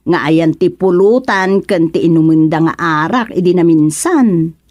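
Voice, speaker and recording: An actress playing a concerned older village auntie in a radio serial, sympathetic yet admonishing, with a Filipino accent. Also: female voice, lowish voice, a little slow, darkish tone. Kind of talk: radio serial drama acting.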